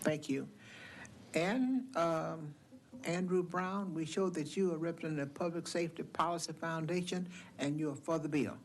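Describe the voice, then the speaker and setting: A woman chairing a legislative committee hearing, calling witnesses in a brisk, procedural manner. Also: low-pitched, slight background noise. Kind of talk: running a hearing.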